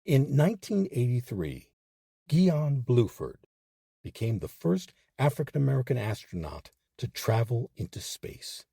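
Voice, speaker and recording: Male narrator, low mellow voice, emphatic and measured, swinging widely in pitch for scripted documentary storytelling. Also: big pitch swings, creaky, slightly rough, no echo, studio quality. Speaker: host and narrator of a history series.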